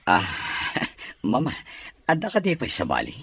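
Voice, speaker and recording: An actor playing a rich young son in a radio drama, earnest and pleading, with a breathy, rough voice. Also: male voice, mid-range voice, huge pitch swings, a little slow, a bit bright, dry recording, exaggerated voice. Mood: nervous. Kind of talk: Filipino radio drama acting.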